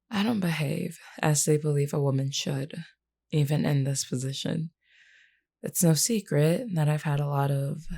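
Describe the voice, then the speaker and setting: A self-assured werewolf woman, voiced by an actress in an intimate roleplay. Her voice sits very low, with heavy gravel and a dark, mellow tone. She is flirty and blunt, teasing the listener directly. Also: heavily acted. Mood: frank, fed up. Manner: teasing, blunt, unhurried, uses pet name "hun". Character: flirty, defiant adult female werewolf, wounded but self-assured.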